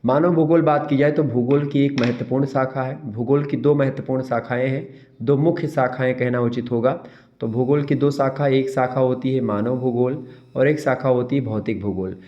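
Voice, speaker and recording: A man's voice: mid-range voice, steady tone, normal speed. A man with a sore throat giving an exam-prep lecture, repeating key terms and answering his own questions. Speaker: teacher. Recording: dull lo-fi sound.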